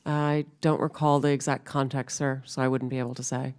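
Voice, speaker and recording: Smooth-voiced woman testifying politely. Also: rumbling low, flat delivery, brisk, a little mellow. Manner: polite, deferential, ends answers with sir. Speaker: media executive testifying. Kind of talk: answering questions at a hearing.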